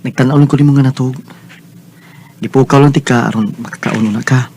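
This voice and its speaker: A man's voice: mid-range voice, steady tone, brisk, darkish tone, a little hiss or hum, natural voice. An actor playing a kind, attentive man in a radio drama, speaking expressively and conversationally with a Cebuano Filipino accent. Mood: curious, impressed. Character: Robin, kind, attentive man hosting and admiring a woman friend.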